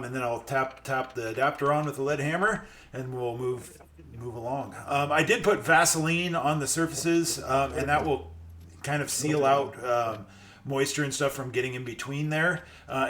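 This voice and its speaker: A man's voice: mid-range voice, steady tone, moderate pace, a bit bright. A man giving a casual how-to while he works, thinking aloud with some hesitation. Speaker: car mechanic hobbyist, channel host. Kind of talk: casual hands-on how-to narration while working. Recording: noisy room, voice drifting off mic.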